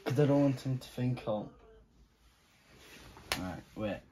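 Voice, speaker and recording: A man's voice: medium pitch, lively intonation, a little slow, music in the background. A young man, talking casually and hesitantly on a phone in a bedroom. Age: teenager to young adult. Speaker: prank caller. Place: small bedroom. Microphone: camera a short distance away. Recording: clearly noisy room recording with clicks.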